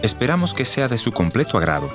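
A man's voice: medium pitch, big pitch swings, fast. A man reading a scripted introduction as a programme announcer. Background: music.

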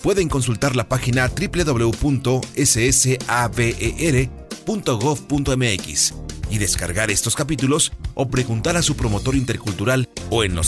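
A man announcing a radio drama in a clear, formal way. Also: mid-range voice. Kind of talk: scripted announcing. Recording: announcer voice over music.

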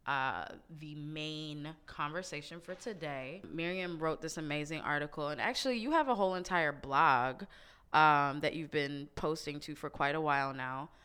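Casual woman host, low and crisp, laid-back with frequent laughs. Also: normal speed, pushed and bright. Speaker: podcast host. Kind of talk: casual chat.

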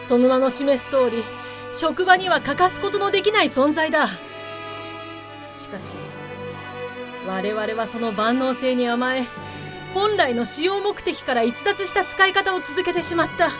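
Woman playing a melodramatic high-voiced company president. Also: expressive, a little slow. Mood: portentous. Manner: solemn, portentous. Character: grave, melodramatic, foolishly reckless company president. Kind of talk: comic radio drama, mock-epic speech. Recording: music behind the voice. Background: prominent background music.

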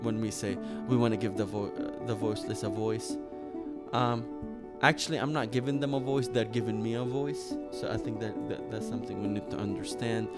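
A man's voice: fairly low pitch, fairly even intonation, brisk, over loud music. Earnest man telling his story. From Iraq. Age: 30s. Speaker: interview guest. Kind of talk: personal storytelling in an interview.

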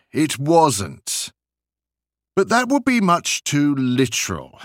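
A man narrating literary essays as an audiobook, with expressive, deliberate and theatrical phrasing. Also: medium pitch, dry recording, studio quality.

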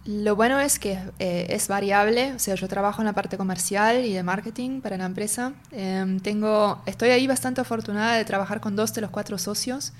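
Young woman talking in an interview, in her spanish, explains things slowly, keeps hunting for the right word. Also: mid-range voice. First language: German. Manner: explanatory, searches for words as she goes. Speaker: marketing and sales lead, interview guest. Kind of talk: casual interview conversation.

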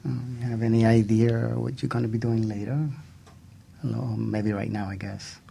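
Gravelly-voiced man hosting flirty radio talk. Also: fairly low pitch, little pitch movement, moderate pace, mellow. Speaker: radio show co-host. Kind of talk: casual flirty radio banter. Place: radio studio.

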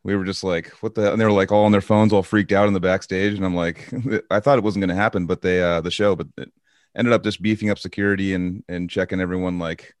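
A man's voice: sits low, steady tone, fast, dry recording, studio quality. A man, a touring musician, telling stories casually in a laid-back way, full of "like". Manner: laid-back, heavy use of filler "like". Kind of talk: casual storytelling.

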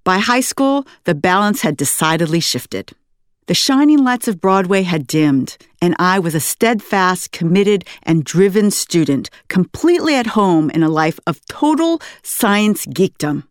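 An American woman, an author reading her own memoir aloud in an articulate, expressive storytelling manner. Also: fairly low pitch, a little slow, no echo, studio quality. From United States, West Coast. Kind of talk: scripted memoir narration.

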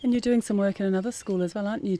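A woman's voice: medium pitch, steady tone, very fast, warm tone. A woman interviewer asking informal, open questions out in a school garden.

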